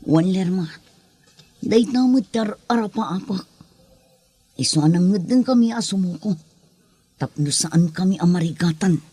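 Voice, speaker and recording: A woman's voice: lowish voice, animated, measured pace, long pauses, dark tone, husky. A woman playing a troubled, remorseful character in an audio drama, speaking earnestly. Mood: resigned. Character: remorseful, troubled woman facing her wrongdoing. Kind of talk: amateur Ilocano audio drama acting. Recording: faint background noise.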